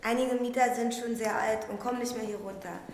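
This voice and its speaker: An actress playing a matter-of-fact guide in a radio play, explaining things in a confiding, conversational way. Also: female voice, fairly high pitch, steady tone, fairly quick, slight background noise, close to an everyday voice. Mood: explanatory. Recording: echoey cellar-like room.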